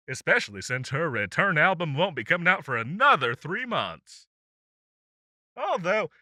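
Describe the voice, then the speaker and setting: Man playing a bright, animated demon radio DJ. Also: a little high, dry recording, clean studio sound, heavily acted. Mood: excited, gossipy. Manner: showy, teasing, enthusiastic announcer patter. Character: upbeat, chatty male demon radio DJ from hell. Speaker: radio host. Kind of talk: radio chart countdown, scripted audio roleplay.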